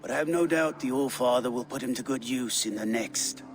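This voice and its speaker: A battle-hungry Viking lord from a video game, acted by a man. He speaks with deliberate, formal courtesy and proud fatalism. Faint music sits behind the voice. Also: mid-range voice, natural voice. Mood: wry respect.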